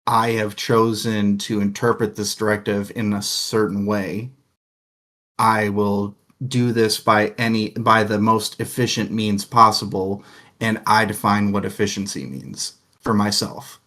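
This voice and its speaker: A man's voice: on the low side, steady tone, a little slow, fairly bright tone. A man co-hosting a casual book discussion, talking in a rambling, thoughtful way with plenty of filler words. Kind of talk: casual chat.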